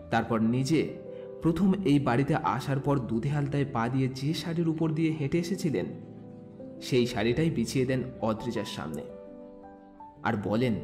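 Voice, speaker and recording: A man narrating a scripted romantic story as a storyteller. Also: mid-range voice.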